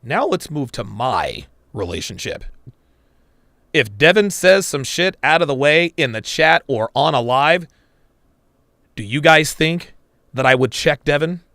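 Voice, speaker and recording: A man's voice: fairly high pitch, big pitch swings, unhurried, long pauses, pushed and bright, dry recording, clean studio sound. Blunt, crude man talking to a live chat. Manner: blunt, crude, swears often; addresses live chat viewers. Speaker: podcast host reacting to a clip. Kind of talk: live-stream reaction commentary.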